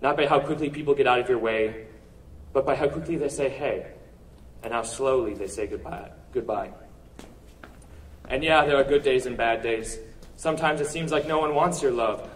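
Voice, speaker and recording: A man performing spoken word poetry at a live open-mic event, with earnest, rhetorical delivery and deliberate pauses. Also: medium pitch, far from the mic, slight background noise, rough recording. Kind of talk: poetry recital, slam-style spoken word.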